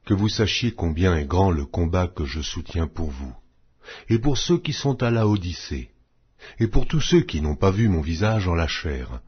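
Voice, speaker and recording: A man reading scripture aloud in a solemn, measured, declamatory way, as for an audio Bible. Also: deep voice, big pitch swings, husky, highs cut off.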